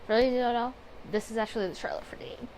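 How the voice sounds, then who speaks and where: Higher-voiced woman chatting through a game playthrough. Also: fairly even intonation, very fast, a little mellow, rough recording. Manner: chatty, rambling, self-interrupting and apologetic. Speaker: host of a gaming channel. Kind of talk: casual let's play commentary with read-aloud visual novel text. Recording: home recording with background noise.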